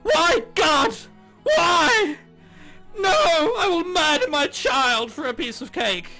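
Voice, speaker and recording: A man's voice: very high voice, very animated, slow, over music, pitched above the performer's own voice, heavily acted. A man voicing a melodramatic, cake-obsessed student character in a visual novel, overwrought and wailing in despair. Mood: dramatic despair.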